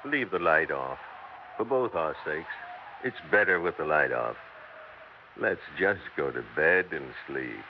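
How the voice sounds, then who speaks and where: A man's voice: a bass voice, lively intonation, a little slow. An actor playing a tired, wisecracking older traveling salesman who may be dead, in a 1960s radio drama. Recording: dull old radio broadcast with faint hiss.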